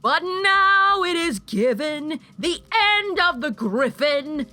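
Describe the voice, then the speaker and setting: Slow, condescending villainous changeling queen played by a woman. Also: high-pitched, lively intonation, sharp tone, soft background music, exaggerated voice. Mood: theatrical, sing-song. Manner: theatrical, condescending, gloating. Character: haughty villainous changeling queen, teasing older sister in her late teens.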